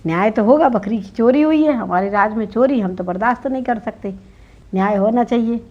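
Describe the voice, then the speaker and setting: A woman's voice: fairly high pitch, a little fast, lightly acted. A woman voicing a foolish, blustering king in a folk tale, scolding and self-righteous. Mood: puzzled, self-righteous. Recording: dull lo-fi recording.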